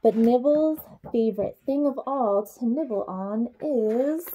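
A young adult woman reading a children's picture book aloud in an expressive way, speaking to young children. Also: a little high, unhurried, breathy edge, dull audio. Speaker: childcare supervisor reading a bedtime story.

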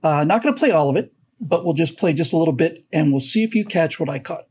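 An older man hosting a casual commentary show over a video call, telling stories in an animated way with frequent restarts. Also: on the high side, fast, noisy background. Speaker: host.

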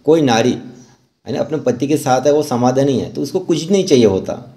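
A man narrating a step-by-step art tutorial as an instructor, often pausing mid-sentence. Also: mid-range voice, expressive, warm tone.

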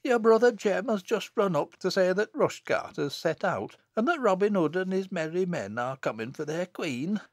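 A sour, scolding village mother from an audiobook tale, acted out by a man. The voice is pushed up high and swoops about in testy rustic dialect, harsh in temper and quick to chide. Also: expressive, dry recording, clean studio sound. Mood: sour, teasing.

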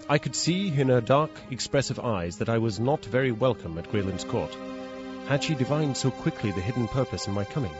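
Actor as animated, well-spoken British gentleman narrator. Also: medium pitch, natural voice. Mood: uneasy. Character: polite, anxious, impecunious English gentleman narrator. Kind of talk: dramatic first-person narration and dialogue. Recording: dull, faintly noisy recording.

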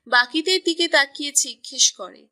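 A woman narrates a romance story in a high, bright voice with an even storytelling manner. Her delivery sounds crisp and scripted. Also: moderate pace.

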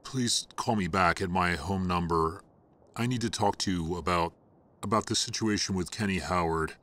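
An actor playing an anxious, placating doctor in an audio drama, hesitant and stammering. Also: male voice, low voice, normal speed, a bit bright. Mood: tense. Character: anxious, pleading male doctor, placating and evasive.

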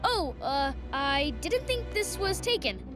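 High-pitched, eager cartoon schoolboy. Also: female voice, sing-song, slow, full character voice. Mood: sheepish, nervous. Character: upbeat, jokey sixth-grade schoolboy, eager and awkward. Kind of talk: animated cartoon acting. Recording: music behind the voice.

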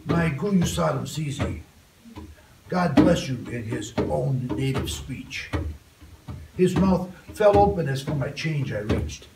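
An older man, a guest poet, reading a poem and chatting softly with students in a school classroom. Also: a little high, animated, slow, vocal fry. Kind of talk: poetry reading and chat. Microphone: far phone camera. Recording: roomy, distant, noisy phone recording.

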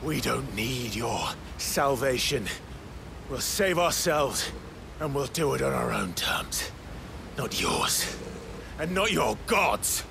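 An actor playing a brooding, defiant young warrior in a video game, with strained, indignant, forceful delivery. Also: male voice, mid-range voice, big pitch swings, slow, creaky, long pauses, background noise, heavily acted. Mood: fierce defiance. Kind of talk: dramatic video game cutscene acting.

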